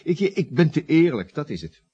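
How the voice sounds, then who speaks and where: A man acting in a Dutch radio play as an earnest young estate agent, wry and animated, hesitant when challenged. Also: on the high side, moderate pace, husky, lo-fi sound. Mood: aggrieved, sardonic. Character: earnest young estate agent, cheeky but meek before his boss.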